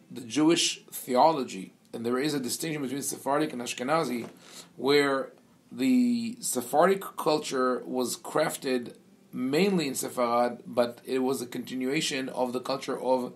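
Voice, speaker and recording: A man born in Israel, a rabbi lecturing a seminar class, with many pauses, fillers and restarts. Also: medium pitch.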